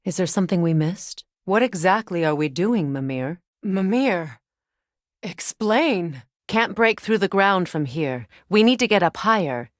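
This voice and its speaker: An actress voicing a stern, wise warrior goddess in a video game, speaking with measured, formal diction. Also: female voice, on the low side, lively intonation, dry recording, clean studio sound. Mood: grave, probing. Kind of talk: dramatic video game voice acting.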